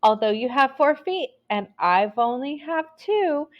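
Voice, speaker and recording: A woman's voice: high voice, lively intonation, measured pace, muffled recording, voice pushed up. A woman voicing a plucky gingerbread girl cookie in a storybook, with teasing, sing-song rhymes. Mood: teasing, gleeful. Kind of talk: storybook read-aloud.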